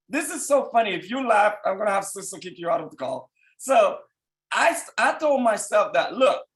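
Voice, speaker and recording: A man in his thirties from Haiti with a thick Haitian accent, giving a fervent motivational talk to people on a call. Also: high-pitched. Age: 30s. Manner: fervent, rising to shouts at peaks. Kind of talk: motivational speech.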